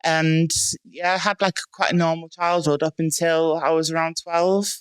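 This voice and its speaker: A Welsh woman in her twenties, a strength athlete, talking as a podcast guest in a relaxed, matter-of-fact way with many ums and likes. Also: deep voice, moderate pace, clean tone, no echo. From North Wales. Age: 20s. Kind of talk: casual podcast interview. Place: small dry room in a house.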